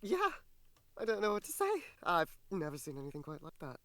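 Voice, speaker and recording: A woman's voice: deep voice, huge pitch swings, brisk, a crowd in the background, full character voice. A woman voicing a puzzled doctor who speaks hesitantly. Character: bewildered woman doctor, Doctor Cadis.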